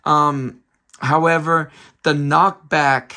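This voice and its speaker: Hoarse, chatty man reviewing games. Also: fairly high pitch, fairly even intonation, slow, bright, forceful tone. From San Francisco Bay Area. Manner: chatty, many ums, restarts himself. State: hoarse, recovering voice after a cold. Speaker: retro game collector channel host. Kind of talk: casual video game review.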